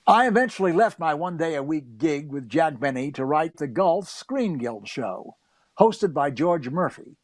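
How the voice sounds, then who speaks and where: A male narrator delivers scripted reminiscence. His voice is somewhat high, and the sound is very dry with no room around it. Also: very animated, unhurried, dry recording. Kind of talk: scripted first-person narration.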